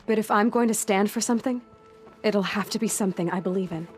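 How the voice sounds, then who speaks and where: An actress playing a determined, loyal young outcast hunter in a video game, speaking in an earnest, plainspoken way. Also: female voice, medium pitch, quick pace, darkish tone, natural voice. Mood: earnest, uncertain. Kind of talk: dramatic video game cutscene acting. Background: music.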